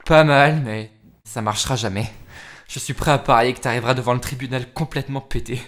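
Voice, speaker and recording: A man's voice: mid-range voice, steady tone, brisk, fairly bright tone, close to an everyday voice. A man playing an insolent, bitter seventeen-year-old son defying his mother in a fan-dubbed TV drama, casual, sarcastic and confrontational. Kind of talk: amateur fan dub of TV drama. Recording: music under the voice. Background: music.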